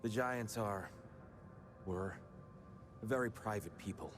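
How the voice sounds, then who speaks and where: A man playing a gentle, weary, broken old god freed from captivity in a video game, speaking wistfully and haltingly. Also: fairly low pitch, over music. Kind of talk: dramatic video game acting.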